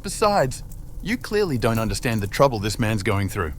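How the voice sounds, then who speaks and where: A man's voice: on the low side, sing-song, moderate pace, a little hiss or hum. A man voicing a pompous male royal guard who scolds in a prim, stuffy manner. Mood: scolding.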